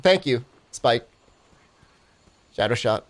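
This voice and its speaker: A man's voice: mid-range voice, expressive, takes it slowly. Phlegmy young man rambling to chat. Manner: rambling, lots of "I mean" and "like". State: phlegmy with a cold. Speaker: speedrun streamer. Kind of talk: casual chat with stream chat while playing.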